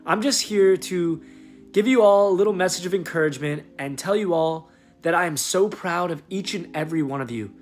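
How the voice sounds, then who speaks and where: Young man, fairly high voice, earnest video message, guitar music behind. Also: fairly quick. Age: 20s. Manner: effusive, earnest. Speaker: guest introducer, activist. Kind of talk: upbeat encouraging video message. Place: indoors in a room at home. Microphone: phone or webcam at arm's length.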